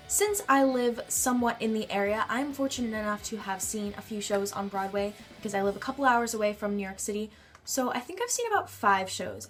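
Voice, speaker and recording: Bubbly teen girl, stuffed-up nasal voice, says like a lot, quiet music behind. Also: fairly high pitch. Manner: bubbly, chatty, lots of "like". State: congested from a cold. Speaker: vlogger. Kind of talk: casual chat answering a tag. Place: bedroom.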